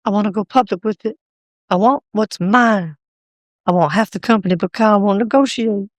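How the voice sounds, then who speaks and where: An actress playing a scheming, assertive, unfaithful wife in a radio drama, speaking insistently. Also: female voice, mid-range voice, normal speed, no echo, close to an everyday voice.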